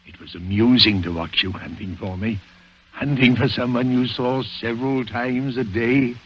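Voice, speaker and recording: A man's voice: medium pitch, moderate pace, slightly rough, close to an everyday voice. An actor playing a formal, deferential male chauffeur with a coolly calculating air, in 1940s radio drama style. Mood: smug. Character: servile male chauffeur, secretly a smug calculating killer. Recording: muffled vintage radio recording with music.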